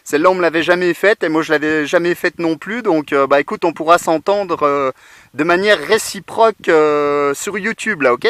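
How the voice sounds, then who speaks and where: A man's voice: fairly high pitch, moderate pace, a bit bright, no echo. A man chatting casually and hesitantly as an amateur radio operator making contact from a portable outdoor station.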